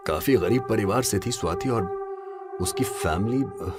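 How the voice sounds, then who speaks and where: An actor playing a doubtful, evasive husband in an audio drama, hesitant and defensive in manner. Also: male voice, fairly low pitch, a little slow, music in the background. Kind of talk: Hindi audio drama acting.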